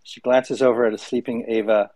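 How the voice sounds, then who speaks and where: A man speaking into a close, dry mic on an audio call, his pitch sitting in an ordinary middle range. He jokes around with halting fillers, then reads scene directions from a script at a steady pace. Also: dry recording. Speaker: showrunner and host reading a script. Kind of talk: casual chat, then reading scene directions.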